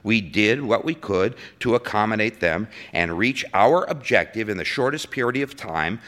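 A man's voice: fairly low pitch, lively intonation, normal speed, fairly bright tone. An actor playing a defensive, exasperated civil servant testifying to senators, folksy and emphatic, stammering as he justifies himself in a dramatic story reading. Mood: defensive, dismissive.

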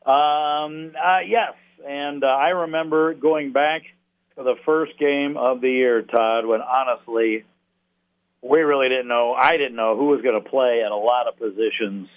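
A man talking sports analysis as an interview guest, a play-by-play announcer speaking haltingly, with pauses mid-thought. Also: medium pitch, fairly even intonation. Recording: thin phone-like radio line.

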